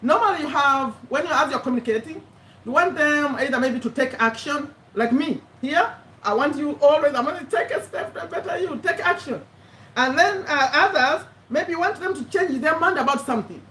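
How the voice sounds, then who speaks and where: A woman in her fifties hosts a live stream in a chatty, self-correcting way, laughing often. Her voice sits high. It is picked up from a distance in an echoey room with a faint hum behind it. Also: animated, brisk, a bit bright. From Uganda. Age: 50s. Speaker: YouTube live host, business owner. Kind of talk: casual live-stream talk.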